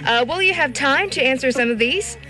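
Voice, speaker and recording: A woman's voice: sits high, expressive, a little fast, pushed and bright, soft background music. A woman hosting a radio interview, bubbly and enthusiastic. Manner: bubbly, enthusiastic, laughs often. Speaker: radio host. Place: radio studio.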